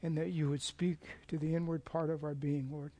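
An older man preaching in a church with a slightly high, hoarse voice. He talks in a rambling, self-deprecating way, full of fillers and restarts, and wears a clip-on mic. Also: flat delivery, a little fast, dark tone. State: losing his voice. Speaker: lay preacher. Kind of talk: informal sermon with opening prayer.

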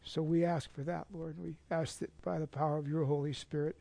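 An older man preaching in a church with a slightly high, hoarse voice. He talks in a rambling, self-deprecating way, full of fillers and restarts, and wears a clip-on mic. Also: level tone, a little fast, warm tone. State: losing his voice. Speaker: lay preacher. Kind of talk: informal sermon with opening prayer.

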